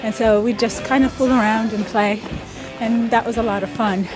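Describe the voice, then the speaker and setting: A woman in her thirties from Ethiopia, telling stories in a casual interview with thoughtful pauses and soft laughs. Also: on the high side, steady tone, over music, poor audio. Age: 30s. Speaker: interviewee, pickup soccer player.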